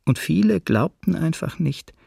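A man's voice: fairly high pitch, animated, measured pace, gravelly, mellow, husky, no room sound, studio quality, heavily acted. An actor playing a weary, earnest witness reflectively telling his memoir in a documentary radio play. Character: male witness recalling failed warnings, weary, earnest.

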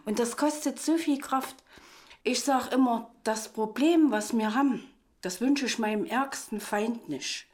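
A woman's voice: fairly high pitch, unhurried, darkish tone. A middle-aged woman giving a spontaneous personal account as an interviewee, earnest and insistent.

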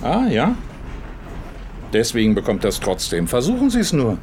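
Casting: meddling older informer, mid pitch, sharply swinging intonation, faint noise, radio play. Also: male voice, huge pitch swings, normal speed, darkish tone. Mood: prodding. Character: gruff, prying grey-haired gentleman, menacing informer. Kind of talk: radio drama dialogue.

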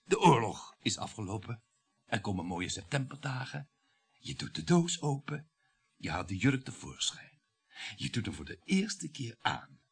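An actor playing a bitter, sardonic French bourgeois husband in a radio play, declaiming with the odd halting hesitation. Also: male voice, medium pitch, very animated, vocal fry, slightly rough, heavily acted. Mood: bitter, accusing.

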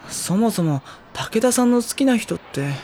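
An actor playing a modest teenage schoolboy in an audio drama, dry, self-conscious and easily flustered. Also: male voice, high voice, lively intonation, moderate pace. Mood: embarrassed, dry. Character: modest, exasperated teenage schoolboy in a literature club. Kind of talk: anime-style audio drama acting. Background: faint background music.

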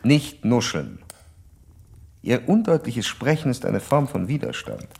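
An actor playing a stern, pedantic psychoanalyst in a radio play, lecturing and condescending. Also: male voice, medium pitch, wildly swooping pitch, measured pace, vocal fry, darkish tone, breathy edge, exaggerated voice. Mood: stern, reproachful. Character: stern, pedantic male psychoanalyst probing a patient.

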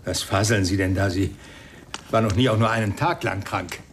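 A man's voice: on the low side, steady tone, normal speed, a little hiss or hum, close to an everyday voice. A man playing a defiant, embittered husband and father in a German radio crime drama, curt and indignant in manner. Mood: disbelieving, angry. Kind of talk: radio play acting.

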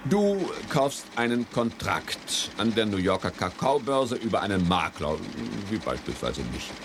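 An actor playing a cocky, persuasive commodities broker in a patronising, grandiose manner, in a 1970s German radio play. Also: male voice, on the low side, very animated, measured pace, noisy background. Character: slick, cocky male commodities broker talking up quick riches.

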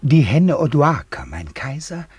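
Very raspy, deferential palace servant. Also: male voice, a little high, sing-song, unhurried, a little mellow, no room sound, full character voice. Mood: deferential, announcing. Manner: formal, announcing. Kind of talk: German radio play acting.

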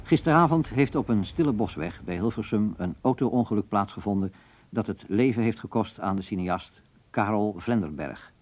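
An actor playing a sober radio news announcer reading a bulletin within a radio drama. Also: male voice, fairly low pitch, animated, normal speed, a little mellow, dull audio. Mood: grave.

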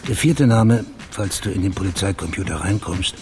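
An actor playing a tough, cynical private investigator with a dry, clipped delivery in a German radio crime drama. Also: male voice, low voice, moderate pace, music in the background, lightly acted. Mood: dry, businesslike.

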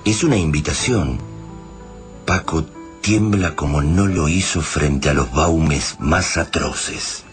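A man's voice: extremely low pitch, slow pace, faint music behind. An actor with an Argentine accent playing a solemn, literary male narrator in a sci-fi radio drama. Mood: solemn, suspenseful. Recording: clearly noisy ambient sound bed. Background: noisy ambience.

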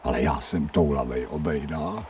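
An actor playing a scrawny, streetwise stray dog in a radio drama, grumbling in colloquial speech with sardonic self-pity. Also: male voice, very deep voice, a little mellow, recorded from a distance, slight background noise. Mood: wry, gruff. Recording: 1990 radio drama, off-mic.

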